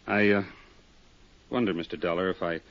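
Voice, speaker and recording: Awkward, low-voiced businessman. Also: steady tone, moderate pace, fairly bright tone. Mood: uneasy, earnest. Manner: hesitant, polite, earnest. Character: awkward, grateful, apologetic businessman, hesitant and polite. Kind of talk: 1950s radio drama acting. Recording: dull 1950s radio recording.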